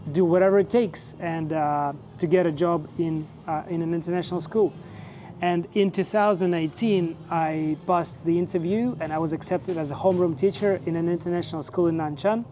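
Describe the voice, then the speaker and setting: A man in his forties from Russia, speaking English, telling his own story in a relaxed, measured way with fillers. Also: fairly high pitch, slight background noise. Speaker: vlogger and teacher. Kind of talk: casual personal storytelling.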